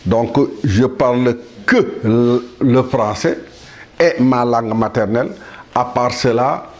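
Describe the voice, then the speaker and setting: A man in his seventies from Senegal, delivering a solemn monologue to the world in a measured, halting way with pauses and restarts. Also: mid-range voice, darkish tone. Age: 70s. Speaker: speaker addressing the world.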